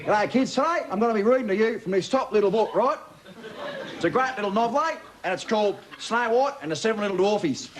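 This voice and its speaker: A man playing a cheeky Australian bogan bloke with a broad accent, telling a comic bedtime story in a laid-back, slangy, matey way. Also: sits high. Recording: TV studio with loud audience laughter, noisy.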